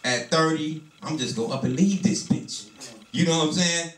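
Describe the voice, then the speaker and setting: A man's voice: on the high side, moderate pace. A man in his thirties from telling a loose, profane stand-up story, repeating "you know what I'm saying". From Detroit, USA. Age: 30s. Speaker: stand-up comedian. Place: small comedy club. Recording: roomy club sound from a distance, crowd noise.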